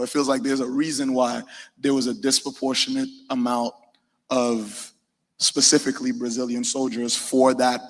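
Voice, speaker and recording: A man on a live panel, talking in a relaxed, slangy way with many "likes". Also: mid-range voice. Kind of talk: casual panel discussion. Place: event venue with a large hall. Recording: echoey hall.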